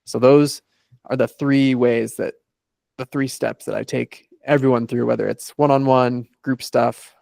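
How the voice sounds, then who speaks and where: A man's voice: mid-range voice, fairly even intonation, measured pace, creaky, darkish tone, no echo, clean studio sound. A man, a life coach guest on a podcast interview, methodically laying out his process in numbered steps.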